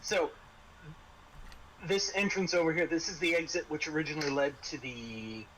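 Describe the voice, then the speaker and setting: A man acting as game master, describing scenes and explaining rules in a halting way, restarting his phrases. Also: fairly high pitch, background noise. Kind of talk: tabletop RPG narration and casual rules talk.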